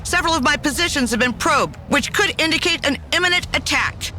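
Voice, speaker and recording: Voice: sits high, lively intonation, fairly quick, sharp tone, a little hiss or hum, full character voice. An actor playing a dutiful, worried military officer who gives formal, clipped reports in a strategy game.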